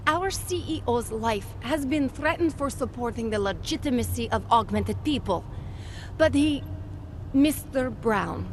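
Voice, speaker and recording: Game role: female company rep, earnest and persuasive, high register, lively swooping intonation, true-believer polish. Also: a little hiss or hum. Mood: persuasive, insistent. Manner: fervent, polished sales pitch delivery. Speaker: company representative. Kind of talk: video game dialogue acting.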